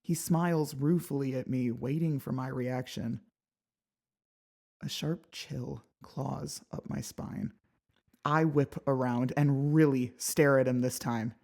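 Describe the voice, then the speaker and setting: A woman narrating first-person fiction as a dramatic, expressive audiobook reader. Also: a bass voice, takes it slowly, creaky. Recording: background music behind the voice.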